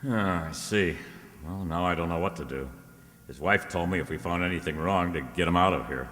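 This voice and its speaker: Brief: male actor as sardonic, stubborn radio private eye, low and forceful, clipped delivery, recorded in a reverberant hall. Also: a little fast. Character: wisecracking, stubborn, suspicious male private detective. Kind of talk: old-time radio detective drama acting. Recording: echoey hall, long reverb.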